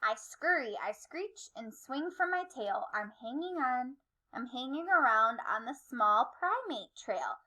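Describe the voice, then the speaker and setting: A spunky little storybook monkey, played by a woman, recites a riddle in bouncy rhyme. The voice is bright and feisty, with deliberate pacing. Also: high voice, sing-song, full character voice, rough recording. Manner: rhythmic rhyming riddle. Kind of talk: children's storybook read-aloud.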